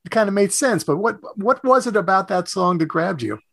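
A man's voice: high voice, expressive, a little fast, gravelly, a bit bright, no room sound. Dry-sounding male radio interviewer, meandering. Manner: hesitant, meandering questions. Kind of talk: conversational music interview.

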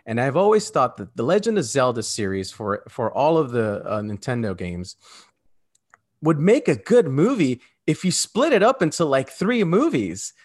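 A man's voice: medium pitch, very animated, moderate pace, darkish tone. A man in his forties talking casually and emphatically as a podcast co-host, like an enthusiastic fan. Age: 40s. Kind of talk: casual chat.

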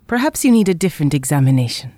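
A teasing, affectionate woman in an audio drama. She speaks low with a lively, expressive melody, in a dry, close recording. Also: very fast, breathy edge, dry recording, full character voice. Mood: flirtatious.

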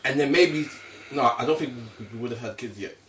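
A man's voice: mid-range voice, a little fast, fairly bright tone. A man in his mid-twenties chatting casually, playful and self-mocking. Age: 20s. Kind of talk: casual chat. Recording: roomy, slightly distant mic with faint noise.

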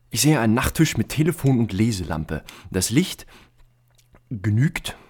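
A man's voice: mid-range voice, slightly rough. A man playing an earnest, pedantic ornithologist in a German audio drama, with precise, clipped, observational phrasing. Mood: methodical, observant. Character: earnest, pedantic male ornithologist and owl enthusiast.

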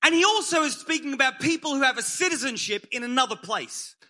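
Man, Australian accent, preacher; very high voice, delivers short punchy joking lines. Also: animated, fairly quick, pushed and bright. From England and Australia. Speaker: guest preacher. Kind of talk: sermon with live interpretation.

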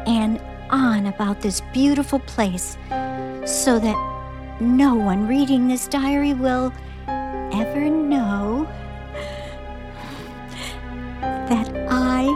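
Unhinged frightened woman, high voice, very slow halting delivery, radio drama, music behind. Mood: fragile, dazed. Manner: halting, dramatic, repeats phrases. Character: frightened, unhinged woman, now giddy and triumphant. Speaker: actor. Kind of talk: radio play acting.

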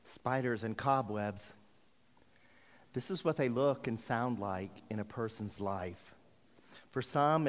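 A man preaching a sermon in earnest, deliberate phrases. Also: medium pitch. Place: large hall. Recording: echoey hall with long reverb.

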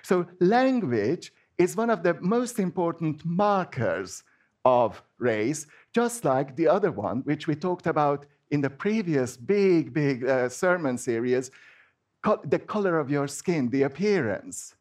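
A man's voice: on the high side, lively intonation, a little slow, a little mellow. A man with a Hungarian accent, a native Hungarian speaker, giving an informal sermon in a chatty, hesitant way with many restarts. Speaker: preacher.

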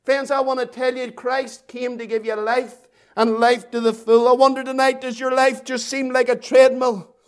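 A man's voice: very high voice, flat delivery, clear, resonant tone. A man preaching an impassioned evangelistic sermon, repeating phrases emphatically and drawing them out for dramatic weight. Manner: emphatic, repetitive, dramatic delivery with drawn-out phrases.

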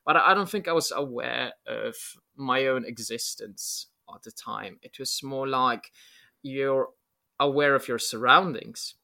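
A man's voice: medium pitch, a little slow, creaky, pushed and bright. A man, talking casually and reflectively as an interview guest, with lots of filler words. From Poland. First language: Polish. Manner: rambling, reflective, many filler likes.

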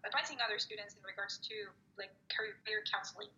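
A woman's voice: mid-range voice, quick pace, pushed and bright, highs cut off, a little hiss or hum. A woman in her thirties from Mexico, a native Spanish speaker, answering interview questions thoughtfully with frequent fillers and restarts. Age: 30s. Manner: reflective, with many fillers and restarts. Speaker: poet and student advisor.

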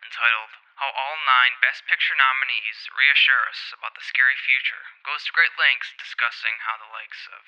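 A man's voice: medium pitch, bright, forceful tone, clean tone, highs cut off. A man reading scripted opinion commentary like a music columnist, with articulate, essay-like phrasing, framed as a voice message.

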